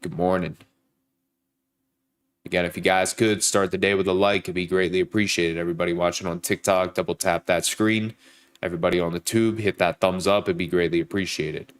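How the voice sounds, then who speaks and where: Deep-voiced man with a stuffy, nasal voice. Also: fairly even intonation, a little fast, clean tone. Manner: greets viewers by name, hypes likes. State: sick, stuffed up, sore throat. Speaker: livestream trader. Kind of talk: casual live-stream chat.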